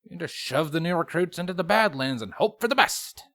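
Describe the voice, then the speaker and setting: Wisecracking pony sergeant, played by a male reader: pitched up, exasperated, sarcastic complainer in a fantasy story. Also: lively intonation, a little fast, sharp tone, dry recording, studio quality. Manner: sarcastic, whiny, emphatic.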